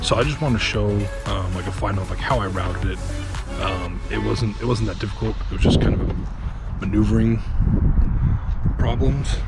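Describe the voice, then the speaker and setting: Low-voiced man, casual DIY walkthrough, music behind. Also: moderate pace. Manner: informal, explains step by step. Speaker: off-road vlogger showing an install. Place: at a Jeep, working on it.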